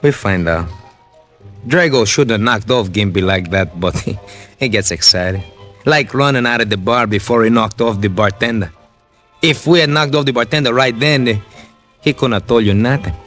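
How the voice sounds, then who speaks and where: A man's voice: medium pitch, normal speed, fairly bright tone, soft background music, close to an everyday voice. A man acting a callous gangster henchman in an old radio crime drama, taunting and threatening in clipped tough-guy talk. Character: menacing, callous male gangster henchman holding a gun.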